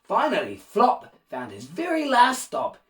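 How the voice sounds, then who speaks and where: A man reading a rhyming children's picture book aloud in an expressive, animated way, with asides to young listeners. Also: very high voice, a little slow, distant mic. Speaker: children's storybook reader and host.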